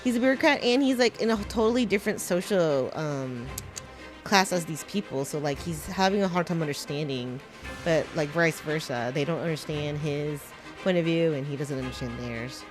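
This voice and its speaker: A woman's voice: sits low, animated, moderate pace, music in the background. A young woman chatting casually while streaming a game, giggly and delighted. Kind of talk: casual live-stream chat.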